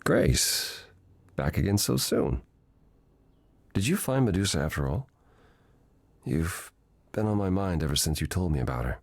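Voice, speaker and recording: An actor playing a gentle, melancholy god in a video game, speaking in a measured, formal and earnest way. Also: male voice, deep voice, sing-song, vocal fry, no echo, exaggerated voice. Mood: concerned. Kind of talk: video game dialogue acting.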